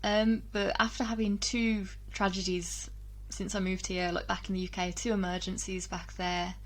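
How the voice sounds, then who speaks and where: A young woman talks casually to her camera, pausing on ums and doubling back on her words. Her voice sits at a middling pitch, and her talk is relaxed and personal. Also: low-quality recording. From England, UK. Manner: chatty, frequent ums and restarts, laughs between thoughts. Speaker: vlogger.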